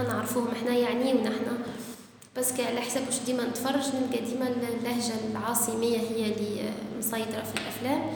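Algerian lady screenwriter chatting, thinks out loud, drops french words, echoey room with faint hiss. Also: on the high side. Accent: Algerian Arabic. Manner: thoughtful, mixes in French words. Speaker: screenwriter guest. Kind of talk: casual interview. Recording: echoey room with long reverb, faint noise.

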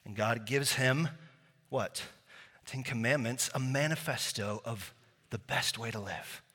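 A man's voice: mid-range voice, a little slow, sharp tone, husky. A male pastor preaching a sermon, telling a story in a casual way with many fillers and restarts. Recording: long hall reverb.

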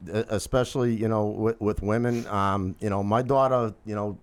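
A man with a deep voice in casual conversation, speaking haltingly and filling pauses with "you know what I mean". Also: fairly even intonation, clean tone.

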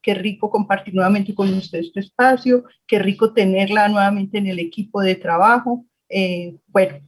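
A woman with a Colombian accent and a slightly husky voice, hosting an interview and introducing a guest. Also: mid-range voice, fairly even intonation, normal speed, low-quality recording. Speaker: host, interviewer.

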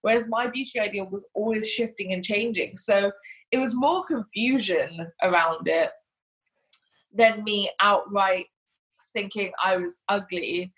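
A woman's voice: mid-range voice, measured pace, a bit bright, poor audio. A woman, grew up in Hong Kong, talking chattily as an interview guest and using lots of fillers. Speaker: guest, body confidence coach. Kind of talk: casual interview talk.

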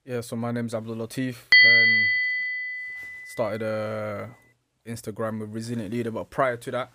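A man's voice: lowish voice, flat delivery, measured pace. A man from Somalia, explaining himself casually as a podcast guest. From Somalia, moved to UK at eight. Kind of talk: casual explanatory talk about own life.

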